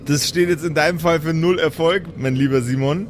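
A man's voice: a little high, fairly even intonation, fairly quick, slight background noise. A man as game master, improvising narration and table talk for a role-playing game.

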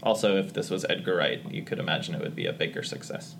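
A man's voice: sits low, quick pace, vocal fry, fairly bright tone, a little hiss or hum. A man in a casual film discussion, speaking as a podcast panelist.

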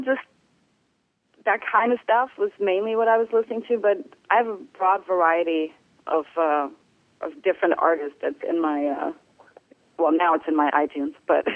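Relaxed woman musician, dry close sound. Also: medium pitch, moderate pace, dry recording, rough recording. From Germany. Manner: relaxed, hesitant, many fillers. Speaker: bass player, interview guest. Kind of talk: casual phone interview.

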